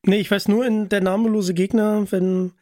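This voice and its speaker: A man's voice: sits high, little pitch movement, moderate pace, mellow, no echo. A man hosting an interview in a studio, putting prepared questions in a friendly, conversational way. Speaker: interviewer, podcast host. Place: studio, close dry sound.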